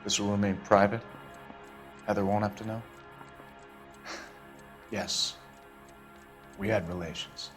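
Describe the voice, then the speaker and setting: An actor playing an evasive, smooth-talking businessman under questioning in a game, guarded and politely hedging. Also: fairly low pitch, steady tone, takes it slowly, pauses often, fairly bright tone, faint music behind. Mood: uneasy, evasive. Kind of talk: dramatic interrogation dialogue.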